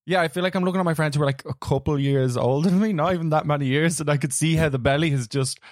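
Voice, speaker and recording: Casting: hoarse gravelly young guy, loose podcast chatter, dry close sound. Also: a little high, steady tone, fast talker, no echo. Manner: rambling, laughs easily. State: hoarse from shouting the night before. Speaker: podcast co-host. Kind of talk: casual chat.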